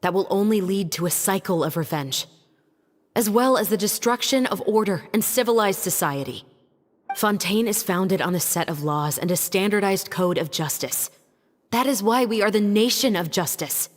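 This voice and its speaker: An actress voicing a composed, principled young female law officer in a game cutscene, speaking in an earnest, deliberate, formal way. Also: mid-range voice, expressive, close to an everyday voice. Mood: grave, resolute. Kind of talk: dramatic video game cutscene acting. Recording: long reverb, music underneath.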